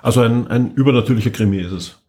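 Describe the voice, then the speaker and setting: A man's voice: medium pitch, very fast, a little mellow, slight background noise. A man hosting a podcast, leading the discussion casually and somewhat hesitantly as he searches for words. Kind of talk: casual moderation.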